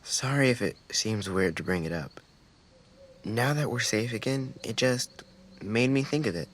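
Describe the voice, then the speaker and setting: A man's voice: medium pitch, measured pace, natural voice. A man playing an earnest, thoughtful young boy survivor in a video game, speaking hesitantly. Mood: reflective, sincere. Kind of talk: naturalistic video game voice acting. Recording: noisy outdoor ambience with crickets.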